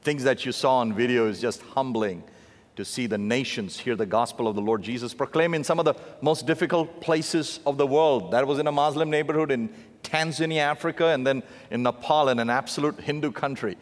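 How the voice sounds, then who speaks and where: A man in his fifties with an Indian accent, preaching to a church congregation with animated asides. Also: medium pitch, brisk. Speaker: missionary preacher. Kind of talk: sermon. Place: large church sanctuary. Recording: long reverb of a big hall.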